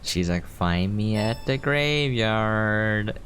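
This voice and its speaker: A man with a deep voice talks through a game as he plays it, casual and offhand as he explains how things work. Faint noise and ambience sit behind him. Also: lively intonation, extremely slow. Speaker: game preview host. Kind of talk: casual gameplay commentary.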